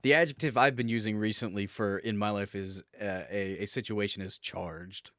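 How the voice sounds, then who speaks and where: A man's voice: lowish voice, fairly even intonation, moderate pace, a bit bright, no echo, clean studio sound. Slangy young man chatting on a podcast. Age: 20s. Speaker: podcast co-host. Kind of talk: casual podcast chat.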